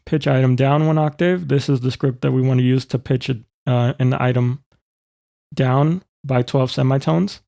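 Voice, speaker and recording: Male software tutor, informal and methodical. Relaxed, fry-edged delivery, dry sound. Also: mid-range voice, moderate pace, dry recording. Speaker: tutorial presenter. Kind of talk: informal step-by-step software demonstration.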